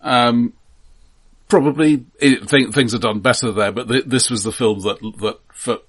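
A middle-aged man co-hosting a film podcast, speaking casually and thoughtfully, halting and often repeating the starts of words. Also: mid-range voice, a bit bright, slightly rough.

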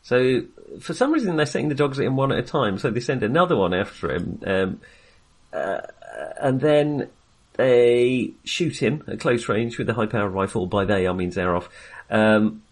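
A man's voice: medium pitch, moderate pace. A man co-hosting a film podcast in casual conversation, with a hesitant, rambling and wry way of talking.

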